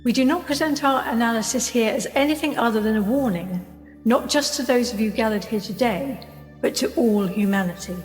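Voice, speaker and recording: A woman playing a professor in a radio play, giving a grave, formal, measured address on a scientific report. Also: a little high, natural voice. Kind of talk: radio play speech to an audience. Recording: long reverberant hall, faint music behind.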